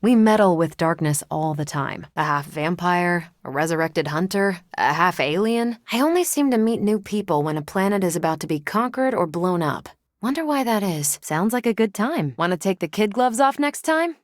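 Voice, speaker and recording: An actress plays a confident female superhero for a video game. Her voice sits a little low, with a breezy, conversational delivery. She is friendly and teasing with a dry wit, in a very dry studio sound. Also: lively intonation, normal speed, no room sound, lightly acted. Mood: relaxed, wry, then confident, firm. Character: confident, wry, friendly half-alien superhero woman soldier.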